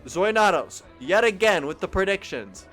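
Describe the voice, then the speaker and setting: A young man reading a visual novel aloud on stream, animated and giggly, with joking asides. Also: sits high, moderate pace, faint music behind. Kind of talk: casual game narration.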